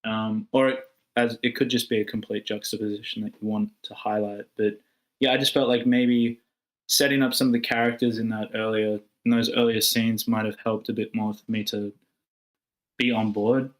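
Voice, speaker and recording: A man giving informal feedback on a screenplay in a tentative, rambling way, softening his criticism. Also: lowish voice, little pitch movement, moderate pace. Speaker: participant giving script feedback.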